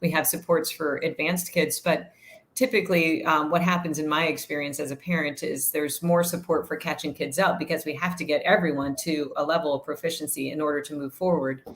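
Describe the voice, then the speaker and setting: A woman guest in a casual interview, explaining things at length in an articulate way, filling pauses with ums. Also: sits low, steady tone. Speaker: guest, researcher and parent.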